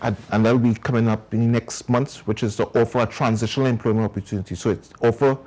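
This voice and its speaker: A man with a Trinidadian accent speaks in a low, husky, mellow voice. He explains his community work earnestly and at length, as a guest in a television interview. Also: fairly even intonation, quick pace. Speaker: community organization founder.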